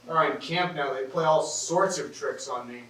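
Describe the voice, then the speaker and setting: A man's voice: fairly high pitch, normal speed, pushed and bright. A man on stage playing a weary, practical Swiss soldier in a classic comedy, wry, polite and self-deprecating. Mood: nervous, weary. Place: theatre stage, recorded from the audience. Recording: roomy, distant stage recording with hiss.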